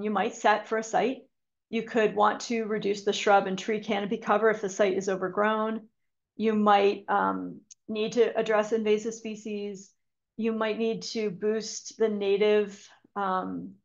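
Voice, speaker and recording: A woman presents a conservation talk over a video call, pausing often with ums and correcting herself mid-sentence. Her voice has a gravelly fry at phrase ends, and the call audio is dull and lo-fi. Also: mid-range voice. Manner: hesitant, frequent ums and restarts. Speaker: conservation speaker giving a talk. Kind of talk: webinar lecture with slides.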